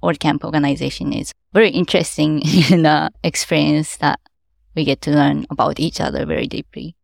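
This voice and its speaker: Low creaky woman, non-native English speaker. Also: steady tone, fairly quick, no room sound, studio quality. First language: Japanese. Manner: non-native English, earnest, laughs lightly while explaining. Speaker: event organizer. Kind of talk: interview answers.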